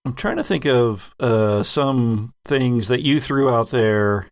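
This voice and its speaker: Man chatting casually in a gravelly voice. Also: mid-range voice, expressive, slow pace, no room sound, studio quality. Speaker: game master of an actual-play podcast. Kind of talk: casual retrospective chat.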